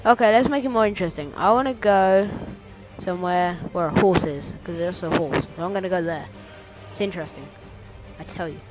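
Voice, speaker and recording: Man, let's-play commentary, rambling and playful, game music loud behind. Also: high voice, fairly quick, low-quality recording. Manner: rambling, playful, easily excited. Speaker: gameplay commentator.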